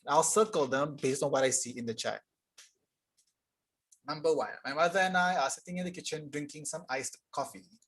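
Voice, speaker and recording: A young man teaching an English grammar lesson live online, explaining casually and reading sentences aloud slowly. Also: a little high, a bit bright, husky. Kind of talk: live online tutorial reading chat answers.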